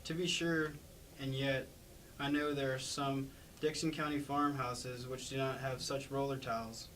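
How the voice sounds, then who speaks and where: A man's voice: mid-range voice, little pitch movement, a little slow, a bit bright, natural voice. Man reading as a patronizing attorney, slightly distant. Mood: condescending. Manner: patronizing, probing. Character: condescending, sarcastic county attorney investigating a murder. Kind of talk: amateur staged play reading. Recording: distant mic with faint background noise.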